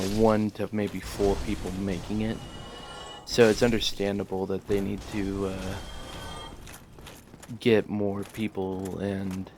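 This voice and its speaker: A man hosting a casual let's play, talking in a monotone and rambling with many fillers. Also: fairly low pitch, gravelly, slight background noise. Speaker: gaming channel host.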